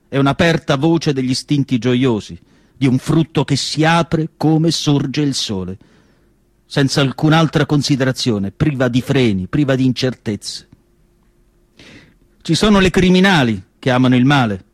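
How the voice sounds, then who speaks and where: A man performing a theatrical literary monologue, declamatory, with deliberate phrasing and pauses. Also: medium pitch, no echo. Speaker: stage actor reading literary prose. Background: music.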